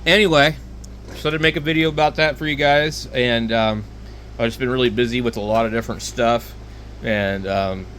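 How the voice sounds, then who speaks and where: A man giving casual, rambling, unscripted product talk as a video host, with a hesitant, halting delivery. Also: mid-range voice, fairly even intonation, fairly bright tone, a little hiss or hum. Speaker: hobbyist video host reviewing handheld radios.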